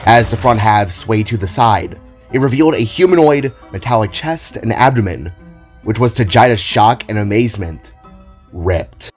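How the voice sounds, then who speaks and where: Animated male narrator over music. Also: medium pitch. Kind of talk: scripted narration of an audio drama.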